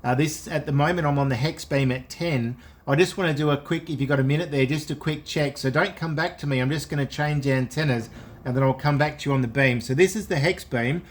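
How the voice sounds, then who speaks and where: A man's voice: a little high, brisk. A man speaking casually as an amateur radio operator, repeating key phrases for clarity. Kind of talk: unscripted two-way ham radio chat.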